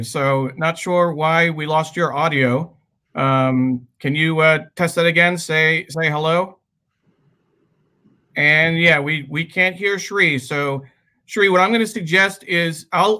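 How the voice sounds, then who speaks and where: A man co-hosting a casual livestream over a video call, speaking in halting phrases. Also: fairly high pitch, pushed and bright. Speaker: livestream co-host.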